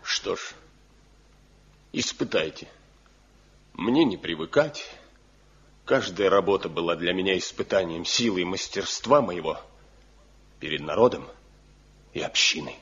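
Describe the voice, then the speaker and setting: Deep, grave, anguished master craftsman. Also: expressive, measured pace, long pauses, fairly bright tone, slight background noise, exaggerated voice. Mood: grim resolve. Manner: grave, solemn, dramatic delivery. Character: Nayden, proud anguished master craftsman, pleading and grim. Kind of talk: Soviet radio play acting. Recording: dull, muffled 1970 radio play recording.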